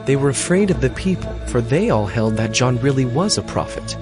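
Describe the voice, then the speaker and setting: A man narrating a dramatized scripture reading in a steady, even way. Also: medium pitch, brisk. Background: music.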